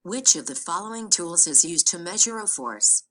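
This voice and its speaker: Bright robotic female read-aloud voice. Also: fairly low pitch, expressive, moderate pace. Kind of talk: synthetic text reading.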